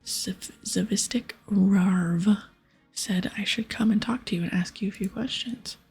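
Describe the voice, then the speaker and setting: A persistent, inquisitive game adventurer read by a woman speaks in a plain, matter-of-fact whisper. It is very breathy ASMR delivery with slight fry, and soft music sits behind it. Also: medium pitch, a little slow, exaggerated voice. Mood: polite, determined.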